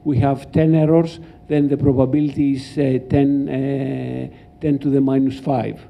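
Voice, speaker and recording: An older man with a Greek accent giving an academic lecture as a professor, halting and explanatory, speaking into a microphone in a lecture hall. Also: medium pitch, level tone, gravelly. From Greece. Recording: dull, muffled lecture-hall recording.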